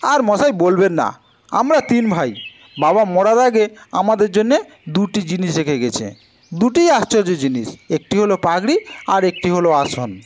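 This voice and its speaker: A man's voice: high-pitched, very animated, moderate pace, pitched above the performer's own voice. A man voicing petulant, quarrelsome village brothers in a cartoon folk tale, arguing insistently over an inheritance. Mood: complaining, explaining.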